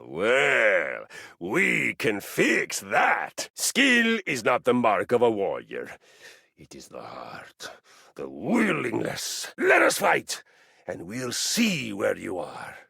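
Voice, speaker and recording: An actor voicing a pompous, battle-hungry Viking warrior in a video game, with grandiose and jovially bellicose delivery. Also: male voice, fairly low pitch, gravelly, fairly bright tone, husky, no echo, clean studio sound, heavily acted. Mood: smug, relaxed, then eager, belligerent. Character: boastful, battle-hungry male Viking warrior, a personified treadmill. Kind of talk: theatrical video game character acting.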